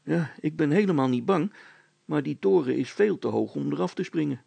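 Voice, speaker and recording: A man's voice: a little high, animated, normal speed, creaky, dark tone, husky, no room sound, voice pushed down, heavily acted. A man voicing an earnest, plain-spoken boy in a story, simple, hesitant and doubtful. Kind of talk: dramatised story reading.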